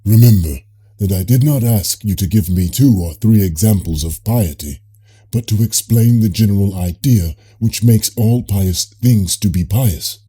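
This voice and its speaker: A deep, very dark male voice as a man reads the part of a Greek philosopher in an audiobook dialogue. He questions in a measured, politely ironic way, with a husky edge. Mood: patient, probing.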